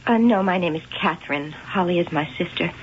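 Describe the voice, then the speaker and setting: Low-voiced composed sister played by a woman. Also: fairly quick, lightly acted. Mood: polite composure. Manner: polite, controlled, firm. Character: composed, protective sister who keeps many cats. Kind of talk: 1980 radio drama acting. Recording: dull noisy old radio broadcast.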